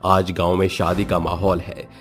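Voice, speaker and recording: A man's voice: low voice, flat delivery, normal speed, fairly bright tone, faint music behind. A man narrating a cartoon horror story in a dramatic storytelling manner.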